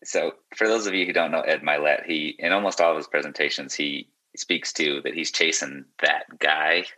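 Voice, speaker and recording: Very deep, bright-edged young man. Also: steady tone, moderate pace, poor audio. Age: young adult. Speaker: podcast guest. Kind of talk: casual, reflective conversation.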